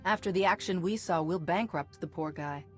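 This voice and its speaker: Actress as resolute female hero, lowish voice, composed, direct, game dialogue, soft music underneath. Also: moderate pace, lightly acted. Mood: friendly. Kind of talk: scripted video game acting.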